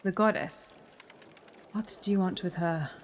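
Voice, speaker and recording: A woman playing a solemn, fatalistic survivor of an alien temple in an audio drama, speaking gravely and formally. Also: on the low side, steady tone, unhurried, a little hiss or hum, lightly acted. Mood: sceptical.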